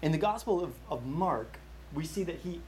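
A young man with a slightly high voice leads a Bible study in an easygoing, chatty way. He stumbles through restarts and fillers as he tells a family story and walks through a passage, with a faint hum of ambience behind him. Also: animated, moderate pace, darkish tone. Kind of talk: casual sermon storytelling.

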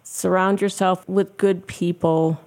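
A woman from Pennsylvania, a podcast guest, offering gentle, heartfelt advice. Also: on the low side, flat delivery, slow.

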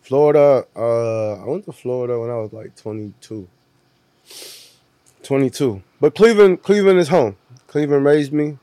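A man's voice: mid-range voice, expressive, moderate pace, warm tone, dry recording. Laid-back man, Puerto Rico born. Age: 40s. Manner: laid-back, laughs often, repeats "you know what I'm saying". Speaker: guest musician. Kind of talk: casual interview chat.

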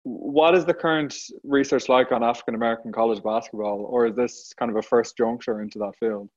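An Irish man hosts a podcast interview over dull, low-fidelity audio. His voice sits at a middling pitch, and he talks conversationally with a self-deprecating touch. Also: steady tone, brisk, darkish tone. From Ireland. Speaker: podcast host.

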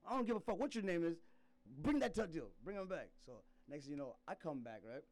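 A man's voice: fairly high pitch, expressive, brisk, darkish tone. A man from South Sudan telling a rambling personal story in casual chat, laughing often and restarting his sentences.

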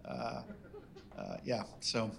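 A man's voice: medium pitch, flat delivery, slow pace, creaky, crowd noise. A man from New England with a New England accent, hosting an event Q&A and giving wry, joking teaching advice. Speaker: workshop instructor, event host. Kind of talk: live event hosting, casual. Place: listening party in an event space.

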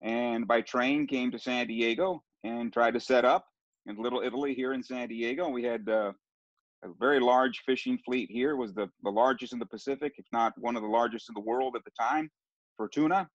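A man's voice: medium pitch, fairly even intonation, a little fast, dry recording. A middle-aged man from Southern California tells his story in a casual interview, wandering and restarting as he goes. The sound is close and dry, with a slightly dull, muffled tone. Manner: rambling, with many restarts and fillers. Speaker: sports broadcaster guest.

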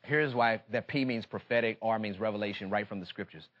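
An American man preaching a fervent sermon in an emphatic, repetitive call-and-response manner, moving between confiding talk and impassioned shouting. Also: fairly low pitch, a little fast, highs cut off.

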